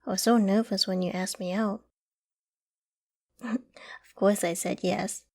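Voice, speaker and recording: A woman playing a caring, affectionate and gently teasing girlfriend in an ASMR roleplay, with a coaxing, playfully flustered manner. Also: mid-range voice, fairly even intonation, takes it slowly. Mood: fond, flustered.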